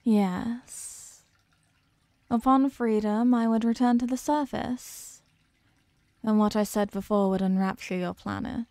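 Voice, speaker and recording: A woman voicing an imprisoned ancient eldritch being in a horror ASMR roleplay, imperious, possessive and condescending, with slow and deliberate delivery. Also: on the high side, warm tone, no room sound. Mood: dismissive, persuasive. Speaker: audio roleplay performer.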